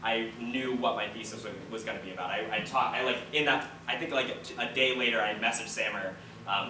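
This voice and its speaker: A young American man in his early twenties speaks in a casual, rambling way, like a student playwright on a panel. His medium-pitched voice is picked up from far off in an echoey room with clear background noise. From United States, Florida. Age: early 20s. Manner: rambling, informal. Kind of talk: casual panel storytelling and answers. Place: indoor room, public post-show discussion.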